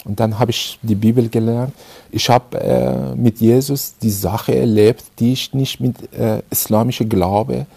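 A middle-aged man with an Iranian accent gives a personal testimony in halting German, full of pauses and fillers. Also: mid-range voice, steady tone, gravelly, mellow, husky, no room sound. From Iran. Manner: halting, many fillers and restarts. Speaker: interviewee.

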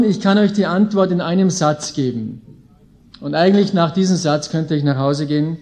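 Austrian man, late thirties, high-pitched voice, mellow tone, informal preacher, folksy, emphatic delivery. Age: late 30s. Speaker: visiting preacher, Bible school leader. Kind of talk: sermon to a live audience. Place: indoor gathering with an audience.

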